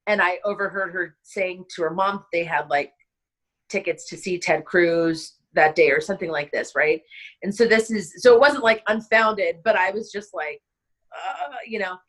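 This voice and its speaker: A woman's voice: fairly low pitch, moderate pace, fairly bright tone. Impassioned rambling woman, filler-heavy. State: stressed and overwhelmed. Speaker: interview guest, wedding planner. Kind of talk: emotional conversational interview.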